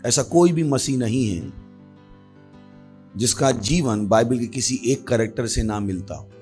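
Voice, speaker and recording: A man's voice: medium pitch, unhurried, darkish tone, soft background music. An Indian man preaching as a pastor, teaching emphatically with repeated phrases and refrains. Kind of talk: sermon with prayer and Bible teaching.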